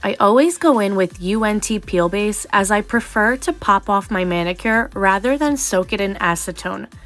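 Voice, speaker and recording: A woman's voice: mid-range voice, moderate pace, a bit bright, faint music behind. A young adult woman narrating a nail tutorial voice-over in a chatty, explanatory way, sounding slightly nasal from a cold.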